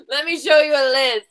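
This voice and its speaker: A woman's voice: high voice, fairly bright tone. A woman hosting an online book quiz, talking off the cuff with contestants over a group video call.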